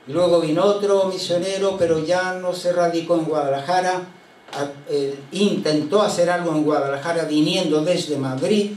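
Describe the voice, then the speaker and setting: Older pastor with a high voice, storytelling from the pulpit with faint noise behind him. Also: male voice, a little mellow. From Chile. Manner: unhurried anecdotal storytelling with frequent hesitations. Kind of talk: sermon. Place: church sanctuary. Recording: roomy church sound from a distant mic.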